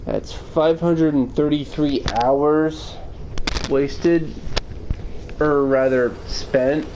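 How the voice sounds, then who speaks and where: A man with a fairly high voice chats on a livestream at a slow pace. His phrasing is wordy and oddly formal as he answers viewers. Some noise sits behind him. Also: fairly even intonation. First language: English. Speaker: streamer. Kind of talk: casual livestream chat answering viewer questions. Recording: noticeable background noise.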